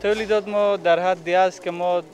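A young man, slightly muffled by a mask, giving formal, halting interview answers as a factory representative into a handheld microphone. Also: high voice, fairly even intonation, darkish tone. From Afghanistan. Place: large indoor factory hall. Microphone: handheld reporter microphone held toward him.

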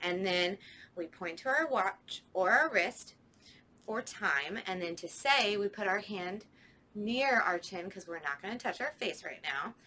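A woman's voice: mid-range voice, animated, measured pace, bright, forceful tone. A young woman, a children's librarian, leading a storytime with rhymes in a sing-song, playful way, recorded indoors in a library by a camera a few steps away.

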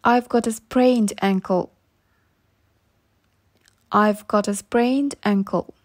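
A woman reading short English practice phrases slowly and saying each one twice for learners. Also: medium pitch, fairly even intonation. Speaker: English teacher.

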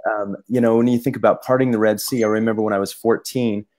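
A man co-hosting a casual discussion, talking in halting bursts with many restarts and fillers. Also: lowish voice, steady tone, darkish tone. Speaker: podcast co-host.

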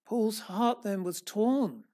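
A middle-aged man, a church elder, preaching a sermon from a lectern in a small church hall, earnest and measured, rising and falling in force. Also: sits high, mellow. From England. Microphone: far camera, standing at a lectern.

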